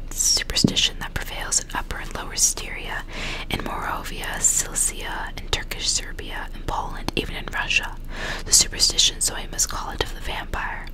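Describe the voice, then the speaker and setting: A woman reading a novel aloud in a breathy, unvoiced whisper, in a hushed ASMR storytelling style. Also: rough recording.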